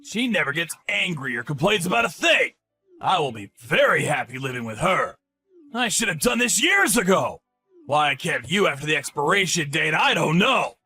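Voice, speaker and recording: A man playing a shouting, gloating ex-husband. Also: on the high side, slightly rough, full character voice. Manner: shouting, sneering, taunting. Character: spiteful, gloating middle-aged ex-husband. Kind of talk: dramatized story acting.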